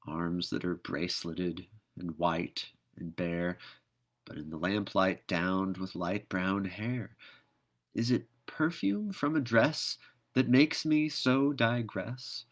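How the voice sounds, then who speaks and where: A man gives an expressive poetry reading at a slow, deliberate pace. His pitch sits in an ordinary male middle range, and he shapes the phrases theatrically, leaving dramatic pauses between them. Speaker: poetry reader.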